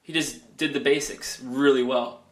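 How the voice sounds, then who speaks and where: A man's voice: medium pitch, fairly even intonation, a bit bright. A man from Wisconsin with a light local accent, co-hosting a casual football podcast in a playful, teasing way. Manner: playful, teasing, quick to laugh. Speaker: podcast co-host.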